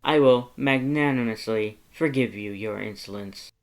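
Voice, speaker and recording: A man's voice: medium pitch, unhurried, lightly acted. A man voicing a vain, haughty male skeleton lord with pompous, declamatory, theatrical speech. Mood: boastful, haughty. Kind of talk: theatrical storybook character acting.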